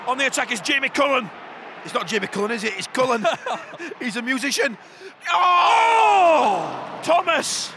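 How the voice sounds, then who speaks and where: A man's voice: very high-pitched, very animated, measured pace, shouting, loud music behind the voice. A man with a Yorkshire accent giving irreverent, jokey, colloquial banter as he commentates on live football. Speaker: football commentator and podcast host. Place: outdoors at a football ground. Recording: clear outdoor background noise.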